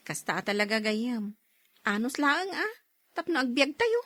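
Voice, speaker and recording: High-voiced Ilocano street vendor. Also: female voice, big pitch swings, slow, a bit bright, full character voice. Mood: easygoing, tired. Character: hardworking single woman street vendor, cheerful and persistent. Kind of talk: radio drama acting.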